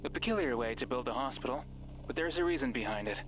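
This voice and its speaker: A man playing a mysterious, controlling horror game guide with a measured, ominous and coaxing delivery. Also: medium pitch, lively intonation, muffled recording, a little hiss or hum, close to an everyday voice. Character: mysterious controlling male radio voice guiding a listener, sinister. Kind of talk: horror visual novel voice acting.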